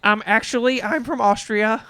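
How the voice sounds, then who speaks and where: A man's voice: high voice, steady tone, normal speed, a crowd in the background, voice pushed up. A man putting on a goofy impression of a muscle-bound older Austrian action star, with a heavy Austrian accent. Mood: hammy. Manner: goofy, hammy.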